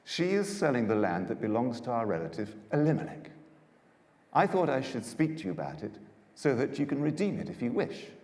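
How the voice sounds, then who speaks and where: A man playing a kindly, honourable older landowner who speaks with earnest, deliberate authority. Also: medium pitch, very animated, a little mellow. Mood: businesslike, firm. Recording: echoey hall with long reverb.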